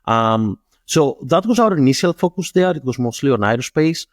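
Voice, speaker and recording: A man from Greece with a Greek accent, explaining things in an interview as a startup founder, often pausing and rephrasing himself. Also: mid-range voice, animated, no room sound, studio quality. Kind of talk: interview answers.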